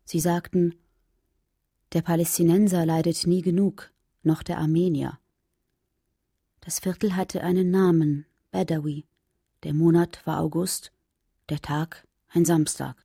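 A woman narrating poetry for a radio drama in a subdued, grave, unhurried manner. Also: fairly low pitch, fairly even intonation, vocal fry, warm tone, slightly rough, dry recording, studio quality, heavily acted. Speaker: reader in a radio adaptation of a poem cycle.

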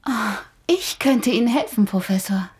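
Casting: caring young woman, played by an actress, earnest, respectful, a little high-pitched, radio drama. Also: animated, moderate pace, darkish tone, close to an everyday voice. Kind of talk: German radio play acting.

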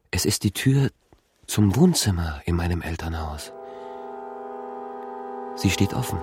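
An actor playing a brooding middle-aged man haunted by memories, speaking slow, fragmentary inner monologue in a radio drama. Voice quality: low, gravelly, creaky. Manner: slow, fragmentary, introspective recitation.

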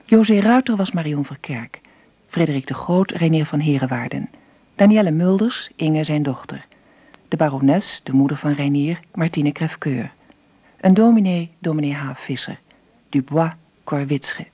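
A woman's voice: deep voice, normal speed, warm tone, slightly rough, dry recording. A woman announcer reading scripted closing credits for a radio drama.

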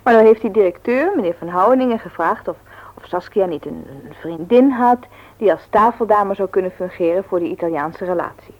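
A woman's voice: mid-range voice, fairly quick, muffled recording, lightly acted. An actress playing a sociable, eager young woman who chats with visitors in a Dutch radio play. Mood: chatty, eager. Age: young adult. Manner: chatty, eager, friendly.

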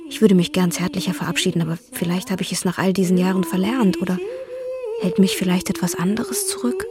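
A woman's voice: fairly low pitch, expressive, quick pace, a crowd in the background, close to an everyday voice. An actress playing a tender, wistful young Russian woman in love, intimate and dreamy, in a German radio drama. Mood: hesitant tenderness. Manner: intimate, dreamy, with musing questions. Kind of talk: German radio drama, letters read in character.

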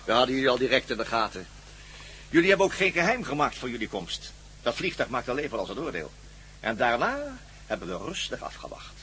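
Gloating villain, wildly swooping intonation. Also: male voice, mid-range voice, very animated, sharp tone, a little hiss or hum. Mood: smugly explaining. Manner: taunting, mock-polite, deliberate. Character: gloating, cruel male villain and captor. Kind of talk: radio drama acting.

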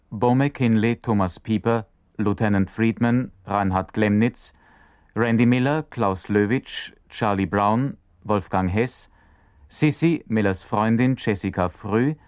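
A male radio announcer reads scripted credits in a formal way. The voice sits fairly low. The sound is dry, with very little room around it. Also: a little mellow, no room sound.

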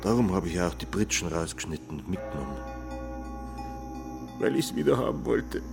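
An actor playing a self-justifying, evasive Bavarian murder suspect in a radio play confession, speaking colloquially with a Bavarian accent. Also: male voice, deep voice, very animated, a little fast, creaky, over music, exaggerated voice. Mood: subdued, haunted.

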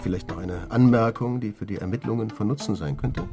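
An actor playing a middle-aged, formal and brisk police detective in a radio drama. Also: male voice, on the low side, music in the background, natural voice. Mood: officious, composed. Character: businesslike male police detective chairing a case briefing.

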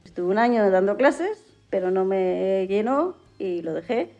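Mellow woman guest, slightly dull recording. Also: mid-range voice, lively intonation, a little slow. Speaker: interview guest, adaptive athlete. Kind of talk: casual spoken interview. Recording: dull sound, faint music behind.

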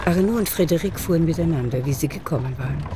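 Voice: mid-range voice, fast talker, noisy background. A narrator reading a literary radio play in scripted storytelling style. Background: music.

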